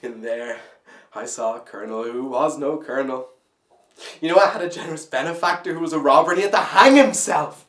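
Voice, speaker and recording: A man playing a bitter, anguished, disillusioned young man in a halting theatrical monologue, moving between brooding and outbursts. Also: a little high, a bit bright, natural voice. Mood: wistful, subdued, then anguished, accusing. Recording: echoey room, distant microphone.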